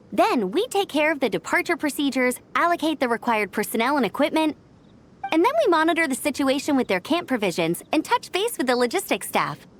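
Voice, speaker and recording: High, animated eager young woman. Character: eager, energetic young female expedition registrar. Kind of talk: video game character dialogue.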